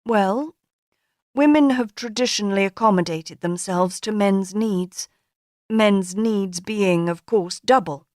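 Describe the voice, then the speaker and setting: A woman voicing a poised, sharp-witted woman of forty in an audiobook, speaking in a dry, measured, ironic way. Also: mid-range voice, no echo, natural voice. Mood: wry.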